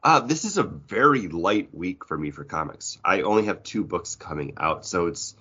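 A man's voice: sits low, lively intonation, moderate pace, bright, forceful tone, slightly rough, highs cut off. A man co-hosting a podcast, talking in a casual, conversational style. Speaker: podcast co-host. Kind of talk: casual comic book chat.

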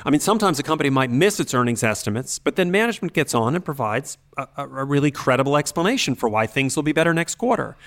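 Analytical man explaining in measured phrases. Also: medium pitch, lively intonation, fairly bright tone. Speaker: podcast host and financial expert. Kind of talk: explanatory podcast discussion.

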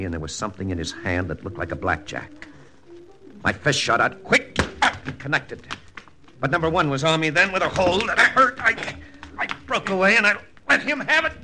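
An actor playing a hard-boiled army lieutenant, narrating in a clipped first-person style for a 1940s radio drama. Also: male voice, lowish voice, noisy background, lightly acted. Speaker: narrator and lead. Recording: old radio broadcast with music.

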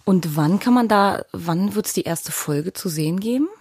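A woman's voice: mid-range voice, lively intonation, normal speed, darkish tone, slightly rough, no room sound, studio quality. A woman interviewing a guest as podcast host in a casual, conversational style. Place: studio.

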